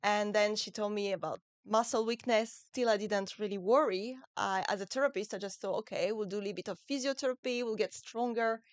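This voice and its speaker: A woman with a French accent talks as a guest in a relaxed interview. Her English comes out gentle and a little halting. The voice is smooth and clear, and the sound is dry with no room echo. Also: medium pitch. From France. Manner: gentle, halting non-native English phrasing. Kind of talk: casual interview.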